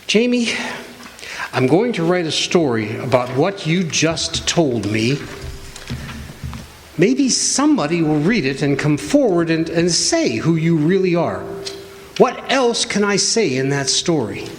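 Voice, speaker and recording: Male actor as stubborn idealistic reporter, animated pitch, unhurried, insistent, radio drama, reverberant hall. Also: a little hiss or hum. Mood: earnest, compassionate. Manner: insistent, imploring. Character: earnest, stubborn crusading male newspaper reporter. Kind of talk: old-time radio drama acting. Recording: echoey hall stage recording.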